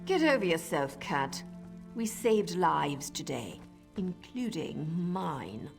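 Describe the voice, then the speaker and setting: A woman voicing a blunt, scornful dark elf assassin in a game. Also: on the low side, sing-song, slow, creaky, big-room sound, over music, full character voice.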